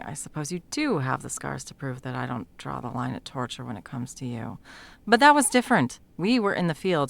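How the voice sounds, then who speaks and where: A woman playing a sardonic, foul-mouthed sound mage in an intimate fantasy audio roleplay, talking sarcastically and emphatically with a creaky edge. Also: extremely low pitch, heavily acted. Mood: defensive. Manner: sarcastic, emphatic, swearing freely. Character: sardonic, foul-mouthed female sound mage, guiltily helping an old friend.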